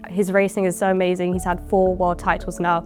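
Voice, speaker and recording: Teen woman driver, low-ish, casual interview bites. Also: flat delivery, fairly quick, darkish tone, soft background music. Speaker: racing driver. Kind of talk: interview soundbites.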